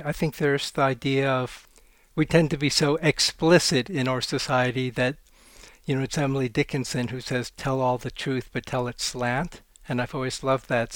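A man, a poet, reading his poems aloud in a slow, measured way and talking about his work. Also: medium pitch, smooth, no room sound. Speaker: poet guest. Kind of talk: poetry reading.

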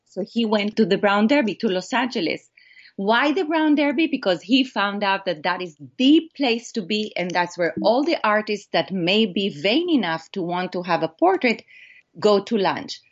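A woman with an Israeli accent, a documentary filmmaker answering interview questions in earnest, animated storytelling. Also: mid-range voice, lo-fi sound.

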